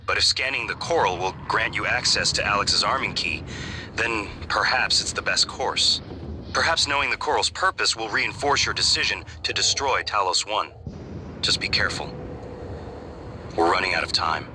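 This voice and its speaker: A man's voice: lowish voice, normal speed, background noise, natural voice. A man voicing an earnest artificial intelligence guide in a video game, careful, reflective and questioning in delivery. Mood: cautious.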